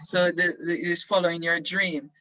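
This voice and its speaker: Brief: male teacher, bright and high-pitched, halting encouraging questions, dull dry video call sound. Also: flat delivery, a little hiss or hum. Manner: halting and encouraging, many ums, slow prompting questions. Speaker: teacher leading a class discussion. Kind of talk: online classroom discussion.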